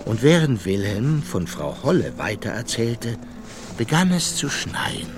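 A man's voice: mid-range voice, big pitch swings, unhurried, music in the background. A man narrating a children's radio play as a scripted story.

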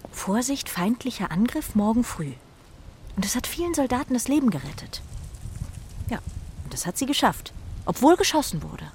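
A woman's voice: mid-range voice, a little hiss or hum. A woman playing an earnest, anxious carrier pigeon, proud of its breed, in a children's radio play. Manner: earnest, emotional, swings from breathy hush to cries.

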